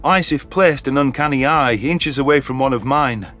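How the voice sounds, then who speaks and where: A man's voice: medium pitch, normal speed, fairly bright tone, soft background music, close to an everyday voice. A man voicing a bitter, hateful alien veteran in a dramatic, vehement telling of a sci-fi story. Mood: bitter, tense.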